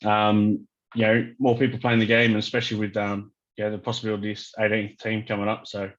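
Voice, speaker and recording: A man's voice: lowish voice, monotone, quick pace, a bit bright, dull audio. A man from Australia with a New Zealand-tinged accent, hosting a casual interview in a hesitant, deferential manner. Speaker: interviewer, fan page host.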